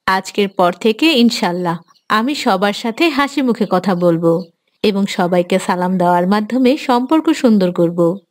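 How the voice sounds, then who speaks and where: A woman's voice: mid-range voice, lively intonation, normal speed, natural voice. A woman playing a dutiful, repentant young mother who speaks earnestly and deferentially to her father in a cartoon. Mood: remorseful. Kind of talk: Islamic moral cartoon dialogue.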